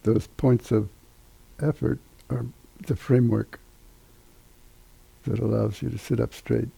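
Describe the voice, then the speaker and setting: Meditation teacher, older male, very dark mellow tone, husky, halting delivery with long pauses. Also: mid-range voice, fairly even intonation. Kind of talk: guided meditation instruction.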